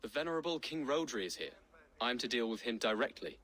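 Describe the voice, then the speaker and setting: A man's voice: medium pitch, expressive, fairly quick, fairly bright tone. An actor playing an earnest, anxious young nobleman in a video game, courteous and a little hesitant. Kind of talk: video game character acting. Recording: music behind the voice.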